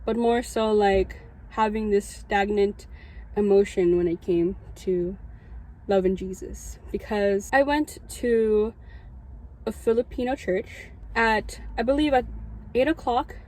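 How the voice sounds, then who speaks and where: Mellow young Californian woman telling her story. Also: medium pitch, takes it slowly, low-quality recording. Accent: Californian American. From Southern California, United States. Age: early twenties. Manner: informal, conversational, frequent "like" asides. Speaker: student chaplain giving a testimony. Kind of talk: casual personal storytelling to an audience.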